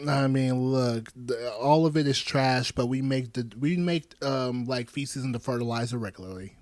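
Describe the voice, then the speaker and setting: A man in his thirties hosting a podcast, chatting casually with animated, joking asides. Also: medium pitch, normal speed, no room sound. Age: 30s. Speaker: podcast host.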